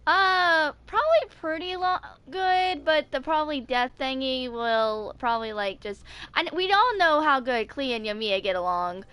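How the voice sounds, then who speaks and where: A woman's voice: high voice, animated, normal speed, hardly any pauses, fairly bright tone. A woman in her twenties chatting casually to viewers in a rambling, loosely worded way. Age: 20s. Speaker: video creator.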